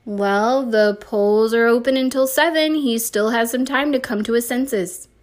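A woman voicing an affectionate, gently teasing mother in a storybook reading, with emphatic, drawn-out phrasing. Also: on the high side, close to an everyday voice.

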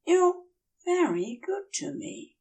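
Woman doing a gentle dazed angel guy, high soft pleading voice, slow and halting, bit far from mic. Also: very animated, exaggerated voice. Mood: grateful, soft.

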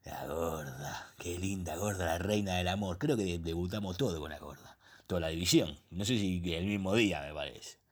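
A man's voice: deep voice, normal speed. A man playing a crude, jovial middle-aged old school buddy in a comic radio play, effusive and repetitive, with an Argentine accent. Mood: wistful, bawdy. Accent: Argentine, Rioplatense. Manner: repeats himself, effusive.

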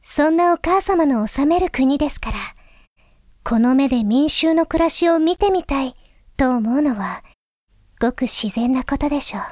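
A woman playing a gentle, thoughtful young princess, speaking formally, softly and earnestly in a Japanese voice drama monologue. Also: high-pitched, animated, moderate pace, dry recording, clean studio sound. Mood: gentle, confiding. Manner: formal, soft-spoken, earnest.

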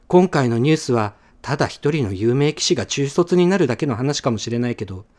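A man's voice: medium pitch, animated, fairly quick, darkish tone, lightly acted. A man voicing an earnest friend explaining a bold prediction in a radio drama. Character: earnest friend with a prophetic message.

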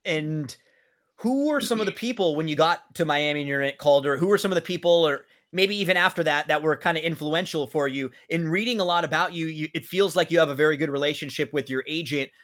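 A man's voice: fairly high pitch, fast talker, fairly bright tone. A man hosting a sports interview show in a conversational, chummy way. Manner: chummy, addresses guest as buddy.

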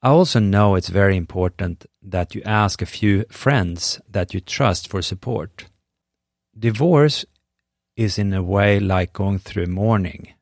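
A middle-aged man from Sweden with a Swedish accent, speaking English as a second language. He hosts a podcast and delivers a scripted monologue in an earnest, measured, encouraging way. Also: fairly low pitch, no room sound, studio quality. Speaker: podcast host.

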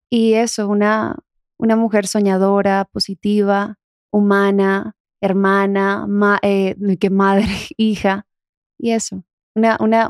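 A woman's voice: medium pitch, a little mellow, no room sound. Young Dominican woman, dry close sound. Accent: Dominican, softened toward neutral Spanish. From Dominican Republic. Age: young adult. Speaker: interview guest, actress. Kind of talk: casual personal interview.

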